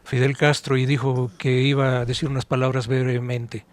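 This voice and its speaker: A man's voice: medium pitch, little pitch movement, normal speed, dry recording. A man co-hosting a podcast, explaining and recalling things conversationally in slightly formal wording. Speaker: podcast co-host.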